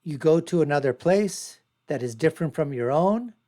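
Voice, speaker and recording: Woman host, born in America, emphatic panel talk, lowish voice. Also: expressive, normal speed, warm tone. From United States. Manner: emphatic, mimics others in quotes. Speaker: show host.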